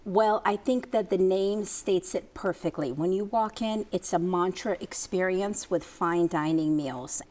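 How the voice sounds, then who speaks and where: A woman guest on a review show, calmly describing the dishes she had. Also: on the low side, a little slow, hardly any pauses. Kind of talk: casual chat.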